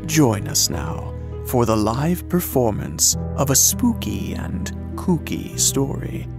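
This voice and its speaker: A man's voice: mid-range voice, big pitch swings, slow pace, gravelly. A man hosting a show, reading a scripted radio-style introduction as announcer. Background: music.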